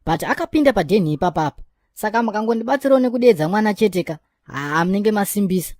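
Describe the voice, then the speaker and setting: A woman's voice: medium pitch, expressive, fast, darkish tone, no room sound, studio quality, natural voice. A woman with a Zimbabwean accent voicing a boastful young man who chases women, in a cartoon. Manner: bragging, mixing in English phrases. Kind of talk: cartoon voice acting.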